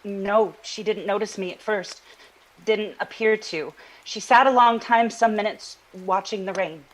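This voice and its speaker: Audio drama: female actor for shaken witness, medium pitch, earnest, halting, careful testimony. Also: natural voice, low-quality recording. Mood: uneasy recall. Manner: halting, earnest, precise recall. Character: shaken witness recounting a strange night.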